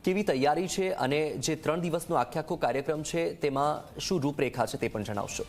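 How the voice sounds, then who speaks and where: A man's voice: a little high, brisk, a little hiss or hum. A man presenting a live news bulletin as anchor, restating points as he goes. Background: music bed under the voice.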